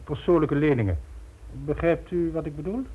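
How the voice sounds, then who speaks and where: An actor playing a smug, urbane crime boss in a radio play, speaking pompously with mocking politeness and condescension. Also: male voice, fairly high pitch, moderate pace, breathy edge. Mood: smooth explaining. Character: smug, urbane male crime syndicate boss posing as philanthropist. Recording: muffled old radio drama recording.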